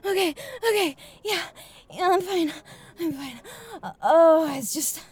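Very high-voiced, foul-mouthed adult woman acted by a woman. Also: animated, unhurried, darkish tone. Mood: overwhelmed. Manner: breathy exclamations, pleading, swearing. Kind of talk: explicit erotic audio roleplay.

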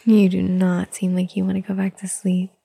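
A woman doing a whispered ASMR roleplay, talking softly and soothingly in a gentle whisper. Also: mid-range voice, monotone, moderate pace, gravelly, slightly rough, no echo. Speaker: ASMR performer.

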